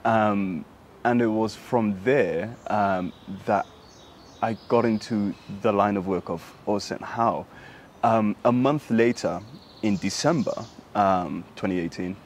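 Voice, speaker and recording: Young sudanese guy, lowish voice, halting interview answers w lots of ums. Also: darkish tone, a little hiss or hum. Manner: thoughtful, halting, many fillers. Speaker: interviewee, human rights activist.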